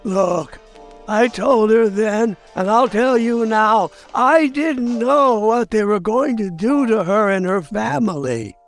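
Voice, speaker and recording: An actor voicing a cranky, cowardly undead ghoul salesman with a gravelly voice in a radio drama. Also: sits high, animated, slow, faint music behind, full character voice. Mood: nervous, pleading. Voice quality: creaky, gravelly. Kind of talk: old-time radio drama acting.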